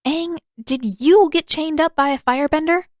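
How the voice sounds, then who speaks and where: A woman's voice: sits high, normal speed, no echo, studio quality, pitched above the performer's own voice, close to an everyday voice. A woman voicing a caring but bossy teenage girl in an audiobook, emphatic and full of questions. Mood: indignant, insistent.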